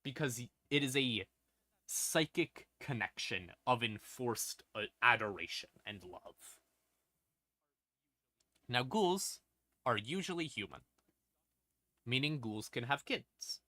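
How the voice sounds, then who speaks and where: A man with a bright, forceful voice and a mid-range pitch, recorded close and dry. He explains lore as a livestream host in an informal, wry way, breaking his sentences into short phrases with pauses and punchy stressed words. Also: expressive, no room sound. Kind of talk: casual lore explainer to a live audience.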